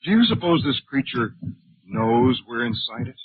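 A man's voice: medium pitch, expressive, normal speed, breathy edge. A man playing a deferential, urgent alien technician in a 1950s radio drama. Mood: apprehensive. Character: harried, deferential alien technician reporting to his commander. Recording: tinny, narrow 1950s radio recording.

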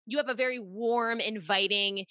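A woman's voice: mid-range voice, normal speed, pushed and bright, dry recording, studio quality. A woman hosting a podcast in a casual, effusive chat, full of "like" fillers. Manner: chatty, effusive, lots of "like" fillers. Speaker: podcast host.

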